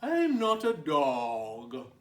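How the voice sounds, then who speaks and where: A man's voice: a little high, huge pitch swings, very slow, exaggerated voice. A man voicing a blunt, critical coyote in a storybook, drawing his words out. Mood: blunt, offended. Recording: roomy echo, distant microphone.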